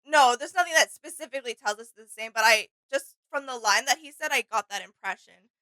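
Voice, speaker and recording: Female co-host, slightly high and bright, relaxed conversational delivery, tentative and musing. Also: brisk, no echo, poor audio. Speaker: reaction channel co-host. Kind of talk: casual chat, discussing game character lore.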